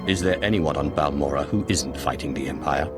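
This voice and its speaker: Very deep-voiced, cold Sith lord. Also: male voice. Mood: cold, calculating. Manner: measured, formal, disdainful. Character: cold, confident male Sith sorcerer, menacing and shrewd. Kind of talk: video game voice acting. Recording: loud music under the voice.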